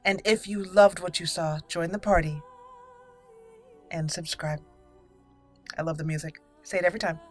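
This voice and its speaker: A woman's voice: on the low side, fairly even intonation, moderate pace, pauses often, soft background music. A woman hosting a casual video game playthrough, chatting and thinking aloud over game ambience. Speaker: host of a gaming channel.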